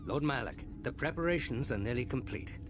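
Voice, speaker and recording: A dutiful imperial-style officer in a video game, played by a man. His medium-pitched voice moves in lively contours. He reports formally, in clipped phrases. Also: fairly quick, close to an everyday voice. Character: dutiful male Sith fleet officer reporting.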